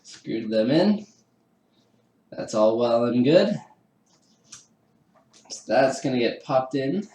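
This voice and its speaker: A man's voice: mid-range voice, expressive, slow pace, long pauses, warm tone, reverberant. A man chatting casually with live-stream viewers while assembling a kit, laughing often and poking fun at himself. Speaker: livestreamer building a 3D printer kit. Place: home room with a garage downstairs.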